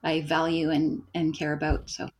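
A woman from Canada, a facilitator and coach, speaking as a podcast guest in an unhurried, thoughtful way with wry humor. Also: low voice, flat delivery, a little mellow, slight background noise. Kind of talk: casual podcast conversation.

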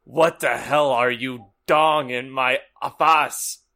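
An angry, blustering headmaster, played by a man in a comic story reading. He is indignant and forceful, with a gravelly creak and a sharp edge. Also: mid-range voice, steady tone, slow. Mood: outraged.

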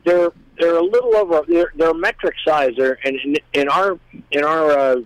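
A man chatting casually as a guest, explaining things in a rambling, halting way. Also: a little high, smooth, poor audio. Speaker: guest, musician. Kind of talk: casual chat.

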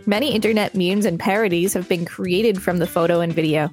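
A woman's voice: on the low side, steady tone, fairly quick, darkish tone. A woman hosting a show and reading an encyclopedia-style article aloud. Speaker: podcast host and reader. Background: background music.

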